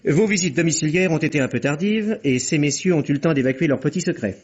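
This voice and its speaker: Brisk, husky, wry police inspector. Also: male voice, fairly high pitch. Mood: measured, reporting. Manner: ironic, insinuating, polite. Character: wry, methodical male police inspector, quietly probing. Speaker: actor in a radio mystery. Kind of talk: French radio mystery play acting. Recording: dull, muffled vintage radio recording.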